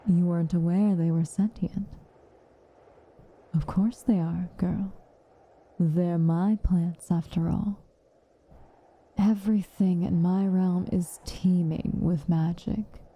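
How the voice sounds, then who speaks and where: A woman playing a stern but caring witch in an ASMR roleplay, speaking softly and with measure, dryly commanding and often slipping into a whisper. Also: on the low side, fairly even intonation, slow pace, pauses often, slightly rough. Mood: patient explaining. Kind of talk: ASMR roleplay, intimate acted monologue.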